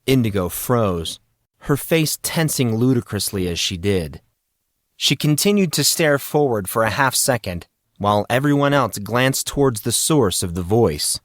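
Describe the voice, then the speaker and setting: Guy narrating a novel, mid pitched voice, really dry recording with no echo. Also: animated, a little slow. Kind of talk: scripted fiction narration.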